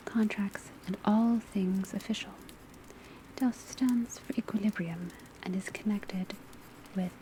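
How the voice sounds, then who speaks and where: A woman acts out a calm witch school divination professor in an ASMR classroom roleplay. The voice is soft, mellow and mostly whispered, giving the lesson slowly and deliberately. A faint patter of rain sits behind it. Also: mid-range voice, a little hiss or hum, lightly acted, rough recording. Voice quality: soft, breathy, largely whispered. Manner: measured, deliberate, instructive. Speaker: teacher lecturing a class. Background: faint patter of rain on rooftop.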